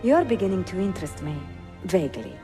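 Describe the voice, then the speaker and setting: An actress playing a cultured, curious young bookseller in a game cutscene, speaking expressively with an Italian accent. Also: female voice, on the low side, very animated, a little fast. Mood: intrigued. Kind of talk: dramatic video game cutscene acting. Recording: clear music behind the voice.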